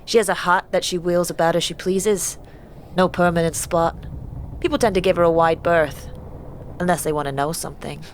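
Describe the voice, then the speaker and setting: A woman's voice: fairly low pitch, steady tone, moderate pace, a little hiss or hum, close to an everyday voice. A woman playing a frank, worried villager in a fantasy audio drama, talking in an uneasy conversational way. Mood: relieved, matter-of-fact.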